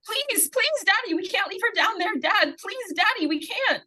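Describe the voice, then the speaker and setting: A woman acts an insistent young girl with a high, piercing voice. She pleads urgently and repeats herself in desperation, in the style of 1940s radio suspense. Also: animated, moderate pace, bright, forceful tone, heavily acted. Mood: urgent, pleading.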